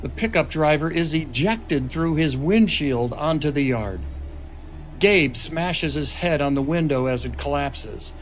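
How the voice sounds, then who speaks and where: A man's voice: a little high, expressive, unhurried. A man reading stage directions as scripted dramatic narration at a screenplay table read. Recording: noisy table-read room recording.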